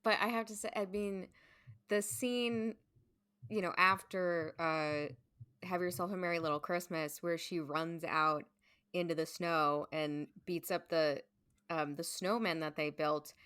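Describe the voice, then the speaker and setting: A woman's voice: lowish voice, fairly even intonation, a little slow, fairly bright tone, clear, resonant tone, clean studio sound. Lady guest on a podcast, casual chat, says like a lot, no room echo at all. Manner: informal, conversational, frequent "like". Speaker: podcast guest, stage performer.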